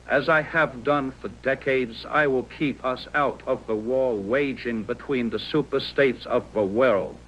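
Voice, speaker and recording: Man playing a slow, solemn president, slightly noisy. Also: mid-range voice, pushed and bright. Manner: formal, deliberate, reassuring. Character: solemn American president addressing the nation. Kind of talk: scripted presidential broadcast address.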